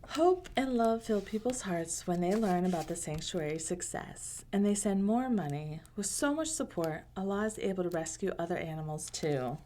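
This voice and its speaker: A woman reading a picture book aloud to children in a gentle, unhurried way, with casual asides. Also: lowish voice, lively intonation, a little hiss or hum. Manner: gentle, unhurried, pausing between short phrases.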